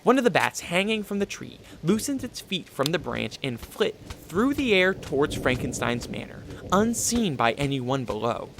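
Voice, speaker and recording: A man's voice: fairly high pitch, big pitch swings, normal speed, gravelly, hardly any pauses, background noise. A man narrating a scripted radio drama in a dramatic storytelling style. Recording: music behind the voice.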